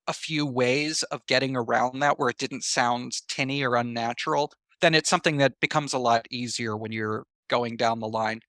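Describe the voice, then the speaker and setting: A man's voice: medium pitch, pushed and bright, dry recording. A man answering interview questions in a conversational, reflective way, explaining his points through examples and comparisons. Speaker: interview guest, writer.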